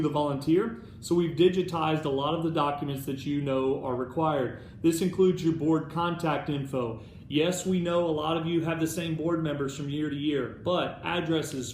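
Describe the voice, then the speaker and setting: A man's voice: on the high side, darkish tone. An American man giving an encouraging, instructive, semi-scripted update to volunteers as a staff presenter. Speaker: alumni club staff presenter. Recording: roomy echo, background noise.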